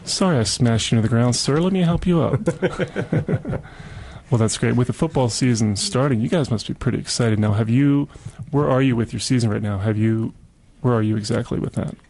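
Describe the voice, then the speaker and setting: A man's voice: medium pitch, moderate pace, creaky, darkish tone, slight background noise. An American man hosting a casual community radio interview, chatting and murmuring agreement as he goes. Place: radio studio.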